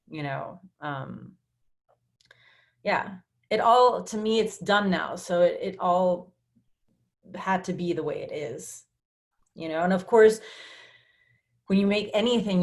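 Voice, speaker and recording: A woman screenwriter answering panel questions online, talking fast and restarting her sentences as she thinks aloud. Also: lowish voice. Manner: fast, restless, rephrasing mid-sentence. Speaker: screenwriter and co-creator of the series.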